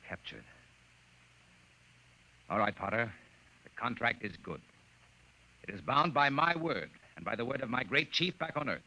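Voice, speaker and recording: An actor playing a defiant, principled official in a 1950s radio drama, speaking firmly and dramatically. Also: male voice, medium pitch, big pitch swings, moderate pace, long pauses, sharp tone, exaggerated voice, low-quality recording. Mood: grave, firm. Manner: firm, dramatic. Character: defiant, principled colonial official and narrator, a man.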